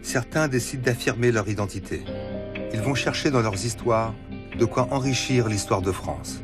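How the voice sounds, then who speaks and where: A man narrating a documentary in a measured, grave voice-over. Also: medium pitch. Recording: loud music under the voice.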